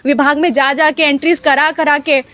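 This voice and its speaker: A woman's voice: sits high, level tone, a bit bright, dry recording. A woman in her twenties from Uttarakhand, a protesting trainee teacher, argues her case with indignation and urgency at an outdoor protest site. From Uttarakhand, India. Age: 20s. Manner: indignant, pleading, rapid argument. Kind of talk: impassioned street interview.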